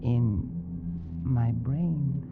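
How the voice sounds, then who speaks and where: A man's voice: mid-range voice, extremely slow. An actor playing a haunted, unravelling Englishman, hesitant and nervously intense, narrating his torment in old radio drama style. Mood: uneasy, dazed. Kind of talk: 1940s radio drama acting, first-person narration. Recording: muffled old radio recording with music.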